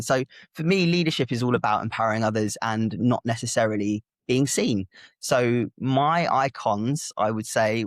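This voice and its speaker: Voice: medium pitch, no echo. Reflective non-binary speaker. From Italy. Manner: reflective and open, many soft hesitations. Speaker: guest, activist and public speaker. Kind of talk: candid interview talk.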